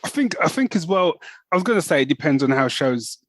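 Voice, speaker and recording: A man's voice: fairly high pitch, big pitch swings, brisk, dry recording. Chatty man on a podcast. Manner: chatty, rambling with restarts. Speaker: podcast co-host. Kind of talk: casual chat.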